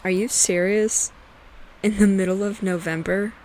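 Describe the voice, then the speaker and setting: A woman's voice: mid-range voice, steady tone, a little slow, a little mellow, natural voice, low-quality recording. A woman playing a cheeky, blunt, sweary teenage daughter in an audio drama.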